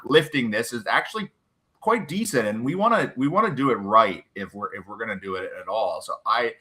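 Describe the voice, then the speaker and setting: A man's voice: medium pitch, lively intonation, a little fast, bright, forceful tone. A man hosting a casual livestream chat, joking and self-deprecating. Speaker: livestream host.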